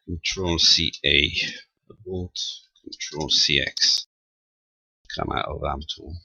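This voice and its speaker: A man giving a casual, unscripted technical demonstration as a radio hobbyist, talking haltingly and half muttering to himself as he works. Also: a bass voice, steady tone, gravelly, bright, forceful tone, slightly rough, no echo, poor audio. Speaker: amateur radio operator demonstrating equipment.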